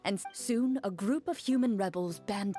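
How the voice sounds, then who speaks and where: A woman playing a game master in a video game, narrating a fantasy tale in a deliberate storyteller manner. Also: medium pitch, dark tone, lightly acted. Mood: measured storytelling. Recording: game music behind. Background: video game music.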